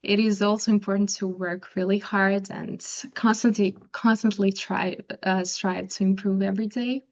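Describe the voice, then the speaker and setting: Young woman, from Croatia, native Croatian speaker, soft-spoken, hesitant ums, dull lo-fi recording. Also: medium pitch, fairly even intonation, normal speed. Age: young adult. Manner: soft-spoken, careful, with frequent ums and restarts. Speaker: interview guest, pianist. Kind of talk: interview answers.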